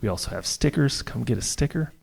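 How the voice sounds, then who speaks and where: An American man co-hosting a live podcast interview, chatty and joking with quick asides, in a jazz club. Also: mid-range voice, expressive, creaky, slightly rough. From United States. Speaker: podcast co-host.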